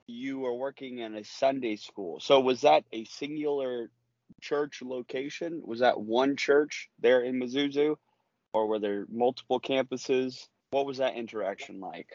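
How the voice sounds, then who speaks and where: A man's voice: mid-range voice, darkish tone, no echo, dull audio. A man hosting a religious interview, opening with a preacherly reflection, then asking questions earnestly. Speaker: host and interviewer. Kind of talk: sermon-like intro then interview.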